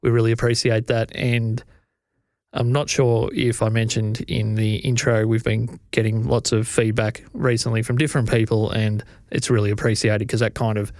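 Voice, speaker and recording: A man's voice: fairly low pitch, flat delivery, a little mellow, no echo, studio quality. A man co-hosting a podcast, easygoing and affirming, wrapping up in casual chat. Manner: easygoing, affirming, quick to laugh. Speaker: podcast co-host.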